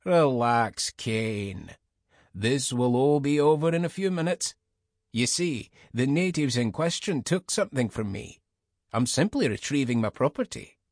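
A man's voice: mid-range voice, animated, unhurried, dry recording, studio quality. A man voicing a smug middle-aged Scottish mercenary commander in an audiobook, with a mocking, falsely polite manner. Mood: mocking, dismissive.

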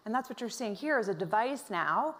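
A woman giving an explanatory public presentation as an expert speaker, articulate, with measured emphasis. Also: on the high side, lively intonation, fairly bright tone.

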